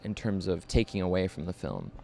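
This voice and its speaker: A man with a somewhat low voice asks interview questions for a campus radio station. He searches for his words, with fillers and restarts as he builds long questions. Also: sing-song, brisk, gravelly, darkish tone.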